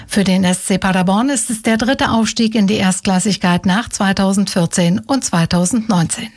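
A woman's voice: mid-range voice, normal speed, heavy background noise. A woman reading scripted radio news in standard German. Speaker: radio newsreader.

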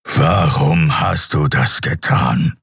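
Man voicing a huge growling beast-man, drawn-out menace. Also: very deep voice, creaky, a bit bright, husky, no room sound, studio quality, exaggerated voice. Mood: angry.

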